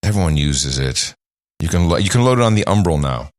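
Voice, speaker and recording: A man hosting a podcast in casual chat, rambling and swinging his pitch up and down as he talks. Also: animated, brisk, no room sound, clean studio sound. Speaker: podcast host.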